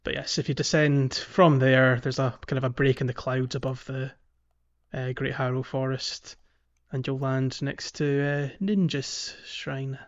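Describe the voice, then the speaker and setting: Guy chatting casually about a game as podcast co-host, halting delivery, kinda muffled lo-fi audio. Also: medium pitch, level tone. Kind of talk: casual video game playthrough chat.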